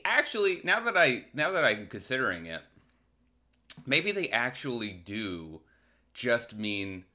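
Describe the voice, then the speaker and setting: American male podcast host, bright punchy tone, sardonic and rambling, casual comic delivery. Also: lowish voice. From Massachusetts, United States. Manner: rambling, irreverent, crude, sardonic. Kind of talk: casual comedic monologue.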